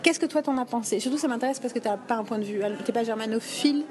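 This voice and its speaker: A woman's voice: a little high, fast talker. A woman hosting a casual podcast conversation in a chatty, informal way. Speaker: podcast host. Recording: busy crowd chatter around the voices. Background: crowd of people talking.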